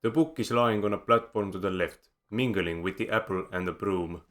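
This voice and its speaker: A man with an Estonian accent reads a scripted game guide close to the mic. His voice sits very low, and his delivery is measured with a dry, wry edge. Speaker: video game guide narrator. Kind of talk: scripted voice-over narration.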